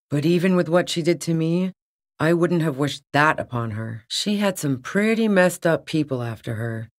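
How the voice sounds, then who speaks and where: An actress playing a tough, wary, sardonic woman on the run in a video game, speaking bluntly. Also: sits low. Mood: somber, regretful. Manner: blunt, sardonic, foul-mouthed. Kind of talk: video game character dialogue.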